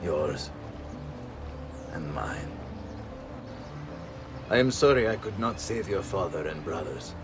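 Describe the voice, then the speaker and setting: An actor playing a dignified, measured Italian Renaissance nobleman in a video game, with an Italian accent. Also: deep voice, animated, music in the background, exaggerated voice. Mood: reflective, grateful. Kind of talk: dramatic video game acting.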